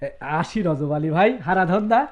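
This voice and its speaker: An actor playing a carefree, philosophical village poet master with an in a radio drama. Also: male voice, sits high, moderate pace, phone-quality sound, natural voice.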